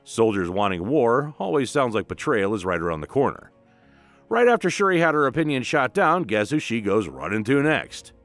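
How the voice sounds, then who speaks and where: A man's voice: mid-range voice, big pitch swings, moderate pace, a bit bright, faint music behind. A man narrating a scripted comic book recap with informal commentary in a dramatic, emphatic way.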